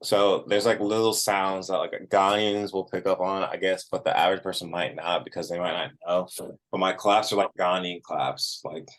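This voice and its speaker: A man with a low-pitched voice gives a casual interview as a musician. His phrasing is informal and conversational, peppered with "like.". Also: steady tone, moderate pace, fairly bright tone. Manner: informal, frequent "like" as filler.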